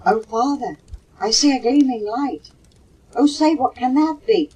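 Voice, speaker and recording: An older woman voicing a frightened young girl at sea in a recited ballad, pleading urgently, on a low-fidelity home cassette. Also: unhurried, dark tone, slightly rough, far from the mic, poor audio.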